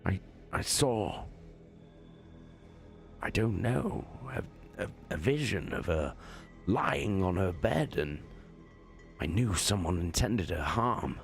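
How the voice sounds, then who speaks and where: A male narrator acting an anxious scholar speaks haltingly and with hushed urgency. The voice is low, with faint music behind it, in science fiction audiobook style. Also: animated, full character voice. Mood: shaken, hesitant. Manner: halting, stammering, breathy urgency. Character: anxious scholarly iterator, frightened but determined.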